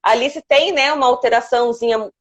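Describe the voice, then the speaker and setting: A woman's voice: on the high side, a little fast, highs cut off. Playful woman hosting a live chat. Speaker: host. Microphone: phone or laptop on a live video call.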